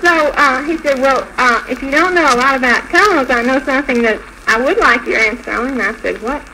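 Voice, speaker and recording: An American woman telling a story haltingly as a guest on a live 1940s radio show, in an old noisy recording. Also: high voice.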